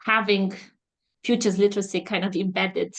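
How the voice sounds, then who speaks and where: Woman, consultant guest, mid-pitched, reflective and halting delivery. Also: level tone, creaky. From Romania. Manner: thoughtful, hesitant with many fillers. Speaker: guest, strategic foresight consultant. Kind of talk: podcast interview, video call.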